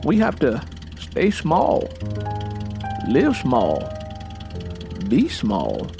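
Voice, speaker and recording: A man's voice: medium pitch, wildly swooping pitch, very slow, vocal fry, heavily acted. A man voicing a domineering, hard-drinking farmer husband in an audiobook, with an emphatic, folksy, preaching manner. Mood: insistent, preachy. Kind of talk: dramatized audiobook character dialogue. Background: music bed.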